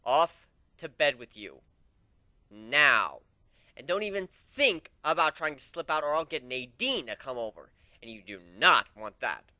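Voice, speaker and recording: A man's voice: medium pitch, sing-song, a little slow, bright, forceful tone, no echo, full character voice. A man voicing a hot-headed, bossy griffin swordfighter in a dramatic story reading, exclamatory and sarcastic. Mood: stern, scolding.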